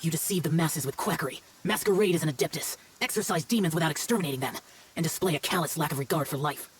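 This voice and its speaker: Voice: mid-range voice, quick pace, a little hiss or hum, lightly acted. An actor playing a stern, aloof, youthful immortal demon slayer in a video game, speaking solemnly and tersely. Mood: stern, condemning.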